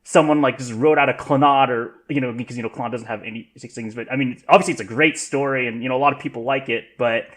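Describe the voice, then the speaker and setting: A man chatting casually as a podcast panelist, rambling and halting as he repeats himself. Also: mid-range voice, fairly even intonation, fairly bright tone. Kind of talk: casual chat.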